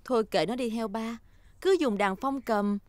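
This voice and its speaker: A woman's voice: on the high side, moderate pace, no room sound, lightly acted. A woman voicing a kind, encouraging mother pig in a dubbed cartoon. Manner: soft, encouraging. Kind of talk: cartoon dubbing.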